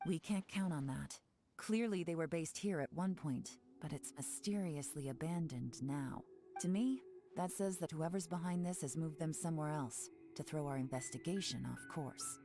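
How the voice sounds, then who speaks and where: A woman voicing a cool, composed female intelligence agent in a video game, talking in a calm, measured, knowing way. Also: low voice. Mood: assured. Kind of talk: game dialogue. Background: game music.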